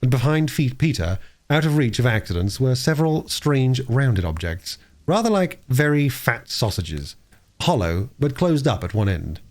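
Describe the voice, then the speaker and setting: A man narrating a story as an audiobook reader, with expressive delivery. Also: medium pitch, normal speed.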